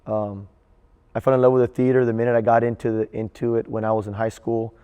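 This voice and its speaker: A man speaks in a level, dark-toned voice as a drama coach being interviewed. He is halting and measured, with long reverb around him. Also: medium pitch, level tone, smooth, dull audio. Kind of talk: reflective interview.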